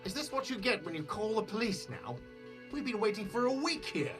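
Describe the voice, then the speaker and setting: A man's voice: high voice, sing-song, fairly quick, over music. An actor playing an irritable, defensive cafeteria manager in a video game, exasperated and sarcastic, leaning hard on his words. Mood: indignant.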